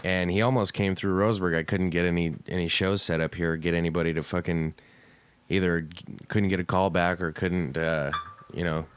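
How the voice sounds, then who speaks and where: A man's voice: low-pitched, fairly even intonation, fairly quick, smooth. A man from Oregon hosting a casual podcast, talking in a crude, profane and joking way. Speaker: podcast host.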